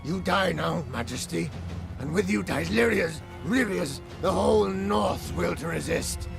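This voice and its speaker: An actor playing a fanatical, treacherous engineer and secret spy in a video game, with a gravelly voice. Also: male voice, high voice, lively intonation, a little slow, full character voice. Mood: menacing, fervent. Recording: loud music behind the voice.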